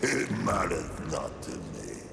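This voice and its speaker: An actor playing a lazy, smug demon lord in a video game cutscene, with a gravelly voice and a languid, mocking drawl. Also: male voice, a bass voice, wildly swooping pitch, slow pace, heavy background noise, exaggerated voice. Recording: echoey, loud music behind. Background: loud music.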